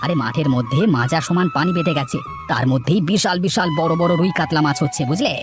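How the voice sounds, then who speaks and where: A man voicing an excitable, nosy village neighbor in a children's cartoon, urging and questioning with lots of exclamations. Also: fairly high pitch, quick pace, over music, lightly acted. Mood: excited, teasing. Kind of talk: children's moral-story cartoon dubbing.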